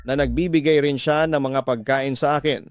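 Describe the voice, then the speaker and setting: A man's voice: mid-range voice, steady tone, normal speed, very noisy. A man narrating a scripted, first-person emotional radio drama story. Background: background music.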